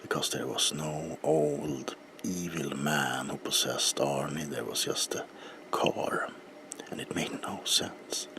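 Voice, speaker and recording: Very deep, creaky whispering man. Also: fairly even intonation, takes it slowly. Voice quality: breathy whisper, very low. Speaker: ASMR performer playing a bookseller. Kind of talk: whispered ASMR roleplay.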